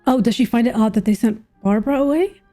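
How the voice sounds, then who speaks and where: Young female gaming streamer: fairly high, soft-toned, mellow, casual, thinking aloud over game music. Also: quick pace. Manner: musing aloud, half to herself. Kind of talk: casual let's-play commentary.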